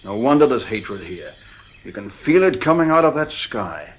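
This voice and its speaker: An actor playing a blunt, uneasy psychiatrist and family friend in a 1950s radio drama, measured and authoritative. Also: male voice, medium pitch, big pitch swings, close to an everyday voice. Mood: blunt, admonishing.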